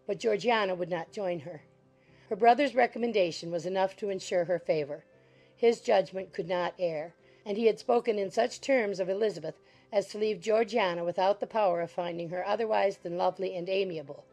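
Female audiobook narrator, measured and formal, a gravelly edge to her voice, faint music underneath. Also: medium pitch, darkish tone, low-quality recording. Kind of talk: dramatized classic novel narration.

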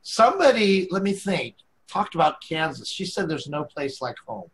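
A man's voice: on the high side, very animated, normal speed, poor audio. A man co-hosting a casual chat show over a video call, cracking jokes and laughing at his own puns. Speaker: co-host.

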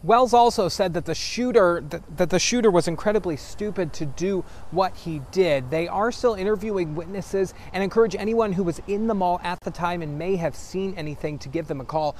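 A young man in his twenties reporting live outdoors at night in a brisk, steady news delivery. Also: high voice, slight background noise. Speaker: field reporter.

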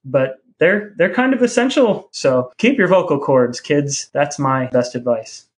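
Casting: male podcast co-host, somewhat higher-pitched, chatting casually. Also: animated, a little slow.

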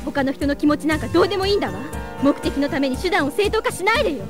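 Indignant teen girl, really high swooping voice, audio drama. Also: lively intonation, rapid-fire, over music. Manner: indignant, forthright. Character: defiant, sharp-tongued high school girl with hidden powers.

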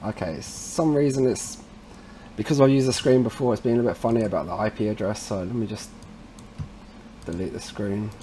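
A man presenting a casual step-by-step software tutorial in an informal way, addressing viewers as guys. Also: lowish voice, normal speed, slight background noise. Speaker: tutorial presenter, installer trade.

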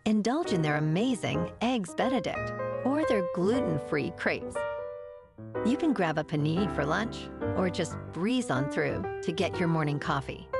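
A woman hosting a local morning news podcast, reading a script in an even, smooth way. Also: mid-range voice.

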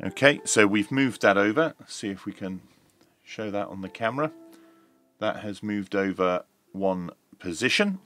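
A middle-aged man giving a measured, explanatory hands-on demonstration, like a reviewer talking through each step. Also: lowish voice, lively intonation, a bit bright, soft background music. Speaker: radio reviewer.

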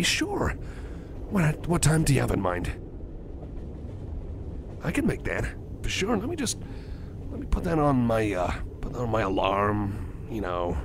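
Male actor as shy, flustered cyborg love interest for ASMR roleplay. Stammering, creaky delivery over clear train noise. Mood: eager, pleased. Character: bashful, kind male cyborg friend nervously confessing a crush. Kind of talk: ASMR roleplay, spoken to the listener. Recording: clear background vehicle rumble noise. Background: train ride ambience.

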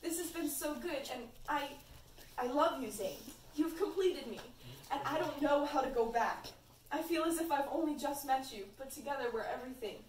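A woman voicing an anxious, lovesick teenage boy with halting, melodramatic delivery in an amateur fan fiction reading. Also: high-pitched, lightly acted. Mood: lovesick, sad. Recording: roomy, distant mic, faint noise.

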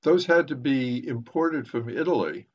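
Slow, halting older man. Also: medium pitch, expressive. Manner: halting, deliberate, with long pauses. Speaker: professor moderating a book discussion. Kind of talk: literary discussion, lecturing informally. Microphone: video call. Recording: muffled, dull video call audio.

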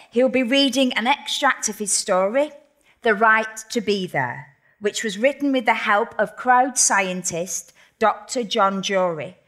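A woman's voice: mid-range voice, fairly bright tone. A woman with a mid-range voice introduces an author from a lectern on a theatre stage. Her delivery is formal and proud, a live event introduction.

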